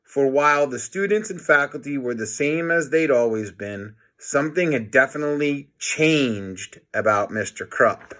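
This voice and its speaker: A man's voice: medium pitch, expressive, normal speed, few pauses, fairly bright tone. A man reading a children's book aloud in a playful, dramatic manner, adding his own comments. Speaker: storybook reader.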